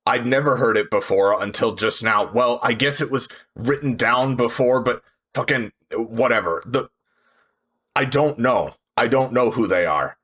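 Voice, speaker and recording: A man's voice: mid-range voice, bright, forceful tone. A man playing a tense, curt woman survivor. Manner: curt, swearing, suspicious. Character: tense, guarded, foul-mouthed woman survivor. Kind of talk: tabletop roleplay, in character.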